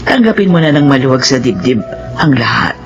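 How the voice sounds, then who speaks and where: A woman playing a gentle, pious motherly figure in a Filipino melodrama, soothing and emotional. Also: extremely low pitch, sing-song, a little fast. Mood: consoling, earnest. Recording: dull lo-fi sound with music behind.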